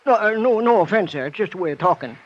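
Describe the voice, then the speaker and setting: High-pitched folksy old desert bartender. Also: male voice, animated, fast talker. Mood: folksy, talkative. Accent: American. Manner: rustic drawling backwoods talk, chuckling. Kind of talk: 1950s radio drama acting. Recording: dull vintage radio recording.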